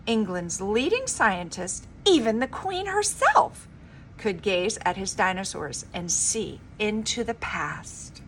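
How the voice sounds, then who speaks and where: A woman reading a children's story aloud in an expressive, dramatic, emphatic storybook manner. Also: medium pitch, a little hiss or hum, rough recording. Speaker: reader of a children's book.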